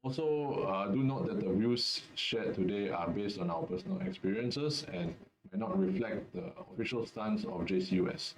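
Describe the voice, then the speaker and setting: A young man from Singapore hosts a student webinar in a casual, halting way, full of fillers. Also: fairly low pitch, sing-song, reverberant, a crowd in the background, rough recording. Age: young adult. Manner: hesitant, many fillers and restarts. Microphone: video call.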